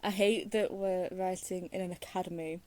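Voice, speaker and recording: A woman's voice: on the low side, fairly even intonation, normal speed, dark tone, no room sound. A woman giving casual reaction commentary while playing a video game, in a giggly, hesitant, rambling way.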